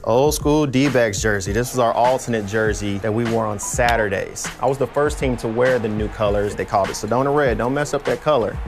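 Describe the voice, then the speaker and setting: A man, a former ballplayer, casually reminiscing. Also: medium pitch. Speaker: former baseball player. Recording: clear music bed under voice. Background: music.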